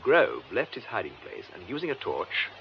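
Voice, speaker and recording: Lively-toned, composed English police superintendent. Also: male voice, lowish voice, creaky, heavily acted. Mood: measured, explanatory. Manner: measured, formal, precise delivery. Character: composed, methodical male police superintendent explaining a case. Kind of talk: radio drama acting. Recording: muffled lo-fi radio drama, faint noise.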